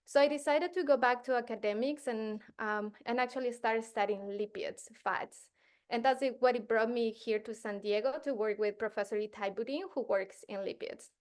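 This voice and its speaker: A woman's voice: a little high, normal speed. A woman in her thirties from Ecuador, a postdoctoral researcher giving a casual science workshop to teachers with frequent fillers and restarts. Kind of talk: workshop presentation.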